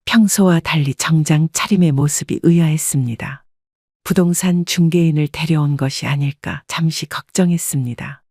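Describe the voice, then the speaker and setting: Woman narrator, calm measured storytelling, breathy voice, dry close recording. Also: deep voice, dry recording. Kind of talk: first-person story narration.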